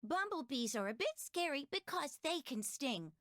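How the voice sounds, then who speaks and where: A woman's voice: high-pitched, sing-song, normal speed, fairly bright tone, no echo, studio quality. A woman voicing an eager cartoon child in a preschool game, giving instructive tips in sing-song rhyme. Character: bright-eyed cartoon child giving safety and nature tips. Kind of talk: scripted rhyming children's game narration.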